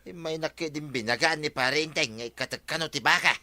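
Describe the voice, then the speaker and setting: A man's voice: a little high, fairly quick, bright, forceful tone. A man playing a stubborn, defensive cattle farmer in a radio comedy drama, with an Ilocano accent and an argumentative manner. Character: stubborn, defensive village farmer who owns a cow.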